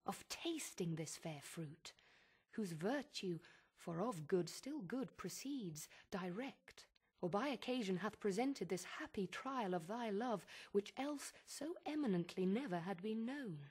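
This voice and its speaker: An actress playing a rapturous, devoted, persuasive wife in a verse epic, with impassioned, measured delivery. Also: female voice, mid-range voice, animated, a little mellow, breathy edge. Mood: rapt admiration.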